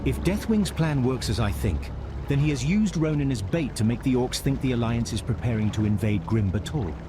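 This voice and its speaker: A man's voice: medium pitch, lively intonation, noisy background, close to an everyday voice. A man playing a grave, wise wizard mentor, speaking solemnly in a fantasy game cutscene. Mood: grave concern. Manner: solemn, measured exposition. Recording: very loud music over voice. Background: loud music.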